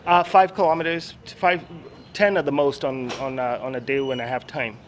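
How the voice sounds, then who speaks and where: A man's voice: medium pitch, fairly even intonation, normal speed, a little hiss or hum. A man from Kenya, a podcast guest chatting outdoors in a friendly, hesitant way with many fillers. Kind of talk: casual interview chat. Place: outdoors on campus steps.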